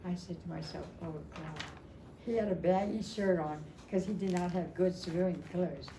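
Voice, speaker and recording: Older woman, slightly low voice, chatty and halting personal storyteller, recorded with clear background noise. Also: steady tone, roomy sound. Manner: halting, repeats phrases, chatty. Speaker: storyteller at a family memorial. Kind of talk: casual personal storytelling to a gathering.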